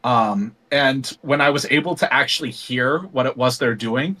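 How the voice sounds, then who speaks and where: A man talking as a guest singer in a casual interview, thoughtful and rambling with many pauses and ums. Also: mid-range voice, pushed and bright, low-quality recording.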